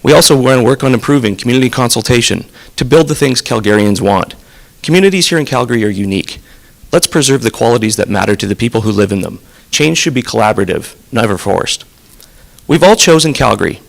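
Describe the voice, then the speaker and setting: A man's voice: medium pitch, expressive, fast talker, creaky. A man running for mayor, delivering a polished, scripted campaign speech that moves through lists of points. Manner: polished, list-driven delivery. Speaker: mayoral candidate.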